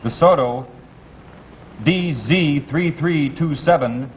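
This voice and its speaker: An actor playing a formal male police dispatcher who announces like a disc jockey, in a 1950s radio comedy. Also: slow. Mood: businesslike. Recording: muffled old radio recording, faint rumble.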